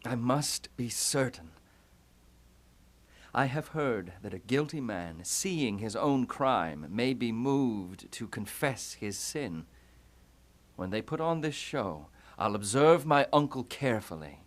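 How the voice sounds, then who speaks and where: A man playing a tormented, scornful young prince in classical Shakespearean style, with theatrical, deliberate delivery. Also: medium pitch, lively intonation. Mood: resolute, plotting. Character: brooding, tormented young prince who turns scornful and furious. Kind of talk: classical Shakespearean stage acting.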